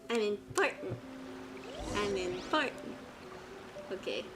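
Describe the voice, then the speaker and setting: A woman streams a video game with casual, muttered-aside commentary. Her intonation swings widely around a medium pitch. Loud game music runs behind her. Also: lively intonation, takes it slowly. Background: game music and dialogue.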